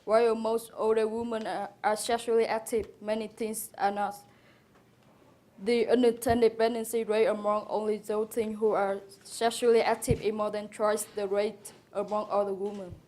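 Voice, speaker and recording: A woman with a mellow, dark-toned voice of middle pitch reads figures from a script. Her intonation is very even, a plain recital by a student presenter. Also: moderate pace, poor audio. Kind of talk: reading statistics from a script.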